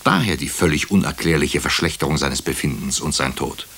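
An actor playing a brooding hospital doctor who narrates a radio crime drama in clipped, deliberate phrases. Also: male voice, a bass voice, fairly bright tone, husky, exaggerated voice. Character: brooding male hospital doctor recounting a murder case. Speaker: actor narrating as the lead character.

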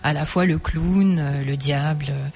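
A woman musician being interviewed on radio, talking thoughtfully with many pauses and fillers. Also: deep voice, fairly even intonation, darkish tone. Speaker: musician guest.

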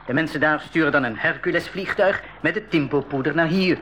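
An actor playing a friendly, patient expedition leader who explains things in a radio play. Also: male voice, on the high side, fairly quick, a bit bright, a little hiss or hum, close to an everyday voice. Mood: patient, explaining. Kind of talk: 1980s school radio drama acting. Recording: dull 1980s radio recording.

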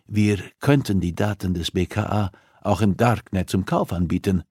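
A man's voice: low voice, normal speed, a little mellow, breathy edge, no room sound, clean studio sound. A man narrating an audiobook, voicing a coolly persuasive, threatening female criminal who interrogates with insistence and condescension.

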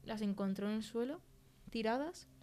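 A woman's voice: a little high, normal speed, warm tone, a little hiss or hum, natural voice. An actress playing a shaken young film-crew woman telling an eerie story in colloquial Castilian Spanish, in a chatty, teasing way. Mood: uneasy, candid. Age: young adult. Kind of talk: conversational interview in an audio fiction podcast.

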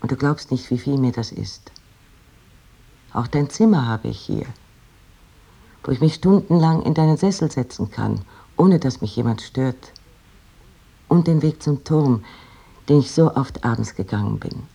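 Radio play casting: an ardent, pining 19th-century letter writer, gravelly and dark-toned. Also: male voice, medium pitch, lively intonation, a little slow, long pauses, heavily acted. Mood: wistful, tender. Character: lovesick, yearning letter writer pining for a distant beloved.

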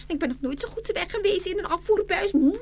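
A woman's voice: very high-pitched, fairly quick. A woman playing a vain, easily offended darning needle in a children's fairy-tale radio play, with an affected, haughty, fussy manner. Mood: anxious, fussy. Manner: affected, haughty, fussy, full of oohs and ohs. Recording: hum and steady noise.

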